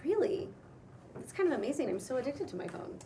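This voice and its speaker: A dry, skeptical young woman, played by a woman at a table read, curious and casual in delivery. Her voice is on the high side, a little off the mic with faint noise behind it. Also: very animated, a little fast, distant mic. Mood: defensive, curious. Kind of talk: cold table read of a pilot script.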